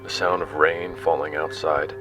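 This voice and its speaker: Sci-fi audio drama narrator: male actor as self-deprecating explorer, very low register, conversational storytelling over soft music. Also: flat delivery, normal speed. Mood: animated recounting. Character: young male explorer recounting his expedition, awed and casual. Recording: music under the voice.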